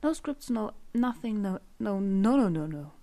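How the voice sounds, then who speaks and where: A woman's voice: mid-range voice, lively intonation, a little slow, warm tone. A young woman from Germany with a German accent, rambling and laughing as she hosts an unscripted solo podcast from home on a condenser mic. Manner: rambling, self-deprecating, laughs often. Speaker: podcast host. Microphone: USB condenser microphone.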